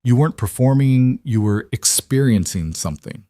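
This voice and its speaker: A man's voice: mid-range voice, expressive, normal speed, warm tone, no echo, lightly acted. A man voicing an intense mafia boss in an audio romance, direct and earnest, a little socially awkward.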